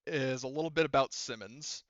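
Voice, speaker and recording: Smooth-voiced, rambling male game master. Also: medium pitch, moderate pace, no echo, lo-fi sound. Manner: rambling, informal, sweary. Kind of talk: casual, improvised tabletop game narration.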